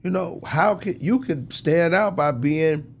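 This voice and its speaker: A man's voice: fairly high pitch, a little slow, creaky. An American man giving casual, emphatic, preachy advice as an interview guest, often checking the listener follows with tag questions. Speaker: music industry executive, interview guest.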